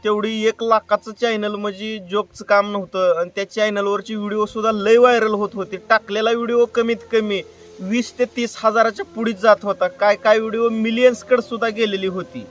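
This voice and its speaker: A man's voice: sits high, little pitch movement, a little fast, far from the mic, soft background music. A man making an earnest, plain-spoken personal appeal to his viewers, repeating himself for emphasis. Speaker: YouTube creator and prank caller.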